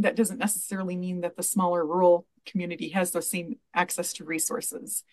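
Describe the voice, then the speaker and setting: A middle-aged woman speaks over a webcam from a room at home. Her voice sits in an ordinary middle register, and she talks in an earnest, measured way, often leaning on "you know" as she explains. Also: mid-range voice, darkish tone. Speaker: guest, library association president. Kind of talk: interview answers over video call. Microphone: video call webcam.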